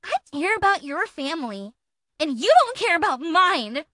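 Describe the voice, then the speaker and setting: Woman performing aggressive female bully, melodramatic and hectoring, bright high voice, close dry sound. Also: very animated, no room sound, heavily acted. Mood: accusing. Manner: melodramatic, hectoring, drawn-out emphatic words. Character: aggressive, boastful woman harasser ranting and threatening. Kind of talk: dramatic reading of a confrontation.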